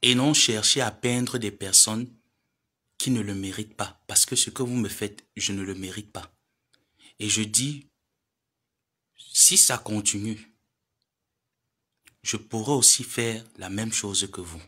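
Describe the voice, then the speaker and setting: Man, 30s, bright edgy voice, preachy live-stream lecturer who pleads and scolds. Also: on the low side, a little slow, pauses often. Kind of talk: admonishing social media live monologue.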